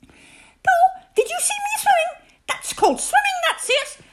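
Woman voicing a squeaky, excitable little kangaroo boy. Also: moderate pace, a little hiss or hum. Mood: excited, proud. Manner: breathless, eager, repeating himself proudly.

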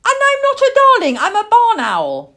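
A woman voicing an indignant, excitable little boy barn owlet in a children's story, shrill and exclamatory. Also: measured pace, exaggerated voice.

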